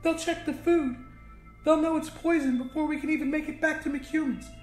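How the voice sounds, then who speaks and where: A man's voice: extremely high pitch, fairly even intonation, moderate pace, reverberant, faint music behind, pitched above the performer's own voice. A man voicing a tough, practical young woman with an insistent manner in a narrated story.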